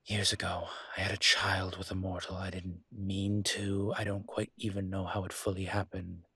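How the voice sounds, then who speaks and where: Man playing a low, husky, weary incubus. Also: no room sound, heavily acted. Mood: regretful, gentle. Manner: measured, halting, imploring with dry asides. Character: weary, earnest male incubus demon pleading diplomatically. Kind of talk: dramatic audio roleplay.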